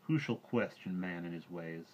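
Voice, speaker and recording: A man gives voice to an aged male bear, a patient teacher who speaks in grave, old-fashioned phrases. The voice sits low. It comes through a dull recording with faint hiss behind it. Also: normal speed, close to an everyday voice.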